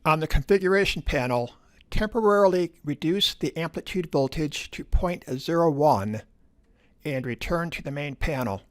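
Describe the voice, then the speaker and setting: Male instructional narrator, slightly high voice, measured procedural delivery for a technical tutorial. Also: lively intonation.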